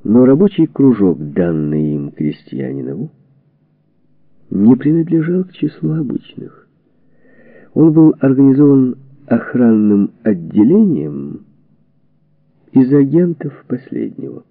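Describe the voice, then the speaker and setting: A man reading a historical book aloud as an audiobook narrator, with measured, expressive storytelling and deliberate phrasing. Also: medium pitch, no echo. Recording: dull phone-like narrow-band sound, dry.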